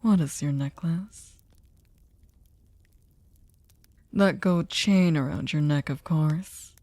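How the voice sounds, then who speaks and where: A woman's voice: low voice, a little slow, mellow, no room sound. A woman playing a sadistic, seductive aristocratic vampire in an ASMR audio drama, teasing and mock-polite, often slipping into a whisper. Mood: coolly curious.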